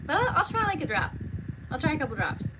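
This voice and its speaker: A woman's voice: sits high, sing-song, quick pace, heavy background noise. An American woman chatting casually on a livestream in an informal, rambling way, often trailing off. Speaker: livestreamer, read-aloud host. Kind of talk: casual livestream chat with viewers.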